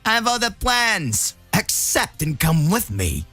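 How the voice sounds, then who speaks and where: A man's voice: high-pitched, huge pitch swings, measured pace, sharp tone, soft background music, pitched above the performer's own voice, full character voice. A man voicing a cruel, scheming alien tyrant who taunts with silky mockery. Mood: smug.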